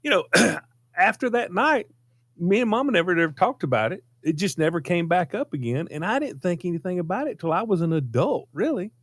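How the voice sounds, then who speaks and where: Animated higher-voiced man, folksy storyteller. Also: a little fast, no echo. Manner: folksy, repeats himself for emphasis. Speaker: radio show regular telling personal stories. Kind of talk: casual chat, personal anecdote.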